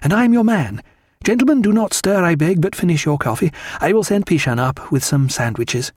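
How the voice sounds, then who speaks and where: A man voicing an animated, high-pitched refined lady. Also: brisk, dry recording, studio quality. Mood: bright, gracious. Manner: exclamatory, refined period speech. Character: vivacious, elegant upper-class woman, Stephen's excitable wife.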